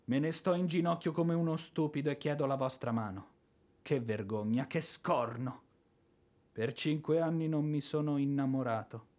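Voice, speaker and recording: A blustering former artillery officer in a stage-style radio play. His voice sits fairly high and swings widely in pitch. He is bombastic, impulsive and helplessly smitten. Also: darkish tone. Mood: frantic, lovestruck. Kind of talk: theatrical radio drama acting.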